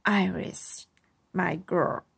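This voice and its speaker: A woman voicing a gentle, encouraging old grandfather full of wonder in a children's storybook. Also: fairly low pitch, steady tone, drawn-out words, vocal fry, husky, full character voice. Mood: reassuring. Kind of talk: children's storybook read-aloud.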